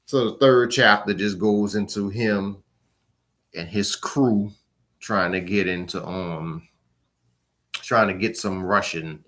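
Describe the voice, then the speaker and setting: A man talking casually and informally as a book reviewer, in a halting, rambling way that trails off at the ends of phrases. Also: on the low side, a bit bright.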